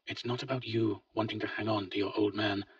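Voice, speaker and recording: Man doing a weary old father, husky, quiet and resolute, lifted pitch, dull far-off recording. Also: fairly even intonation, a little fast, no echo. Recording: dull lo-fi, distant mic.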